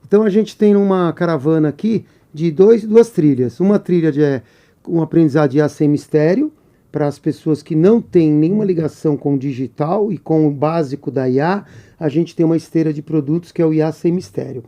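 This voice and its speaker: A man in his sixties, a businessman and mentor, talking as a podcast guest in a friendly, energetic way, listing things off. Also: a little high, a little fast. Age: 60s. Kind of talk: podcast interview.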